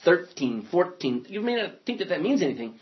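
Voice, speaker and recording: A man lectures a Bible class, his voice sitting a little high and rising and falling constantly. He thinks aloud and throws in jokes as he goes. The classroom recording is lo-fi and muffled. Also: brisk. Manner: animated, joking, thinking aloud.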